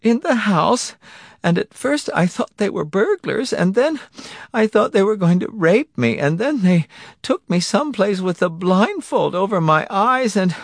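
A distraught woman in an audiobook, a wife in tears. The voice is pitched very high and swings emotionally, with halting, bewildered phrases. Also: expressive, vocal fry, no echo, studio quality, full character voice. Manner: halting, sobbing, breathless phrasing. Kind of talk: audiobook character acting.

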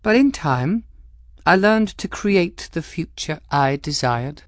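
A man's voice: on the high side, lively intonation, slow pace, dry recording, clean studio sound, voice pushed up. A man voicing a poised, scheming aristocratic woman who speaks with cool, cutting mockery. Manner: poised, cutting, lightly mocking. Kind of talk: audiobook character voice.

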